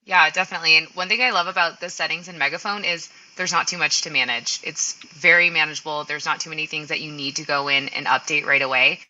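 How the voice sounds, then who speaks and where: A woman giving an upbeat, explanatory software walkthrough in a live webinar. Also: low voice, fairly even intonation, fast, few pauses, highs cut off. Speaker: software presenter.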